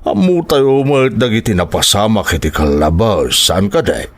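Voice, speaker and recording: Husky older Ilocano uncle. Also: male voice, lowish voice, very animated, normal speed. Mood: concerned. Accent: Filipino, Ilocano. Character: concerned, prying older uncle eager for grandchildren. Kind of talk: radio-style drama acting.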